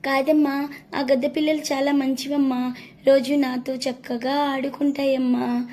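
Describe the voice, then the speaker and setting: Woman as an earnest high-pitched little chick. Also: level tone, a little slow, warm tone. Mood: pleading. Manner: childlike, earnest pleading. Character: naive, earnest little chick, young girl-like.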